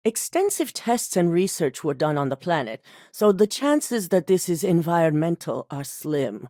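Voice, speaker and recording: An actress playing a cold, commanding corporate executive in a video game, speaking in a curt, authoritative way. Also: female voice, lowish voice, no echo, studio quality. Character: cold, commanding female corporate CEO, middle-aged.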